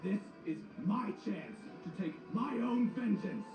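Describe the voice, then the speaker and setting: A man's voice: sits high, animated, a little slow. A man playing a wounded, vengeful shadow pony villain in a melodramatic audio drama, intense and menacing. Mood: bitter, vengeful. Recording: echoey, distant playback under loud music.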